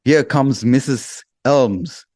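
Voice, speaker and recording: A man's voice: mid-range voice, slow pace, darkish tone, dry recording, clean studio sound, pitched above the performer's own voice. A man playing an ominous, dramatic innkeeper who questions a guest, in the style of a 1930s radio mystery. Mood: ominous, questioning.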